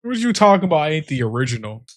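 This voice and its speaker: A man's voice: on the high side, big pitch swings, quick pace. A man, giving casual, slangy reaction commentary as a video host.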